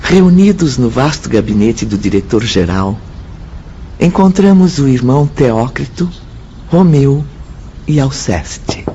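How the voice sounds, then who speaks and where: A man's voice: medium pitch, lively intonation, slow pace, a little hiss or hum. A man with a Brazilian accent narrating a scripted radio drama. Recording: dull lo-fi audio under loud music. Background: music.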